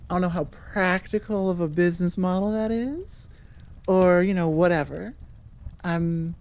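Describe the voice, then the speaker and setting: Slow-paced, low-voiced woman chatting casually. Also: darkish tone. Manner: rambling, self-interrupting, informal. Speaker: audio roleplay creator and host. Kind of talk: casual, improvised chat to listeners.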